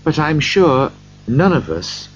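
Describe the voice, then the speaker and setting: A man voicing a gentle, grieving father who speaks tenderly to his family, on a dull lo-fi recording. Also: on the high side, big pitch swings, slow, slight background noise, voice pushed up. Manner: tender, heartfelt.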